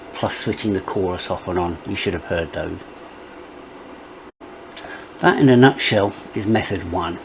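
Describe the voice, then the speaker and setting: A middle-aged man narrating a step-by-step software tutorial as its presenter. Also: low-pitched, a little hiss or hum, rough recording.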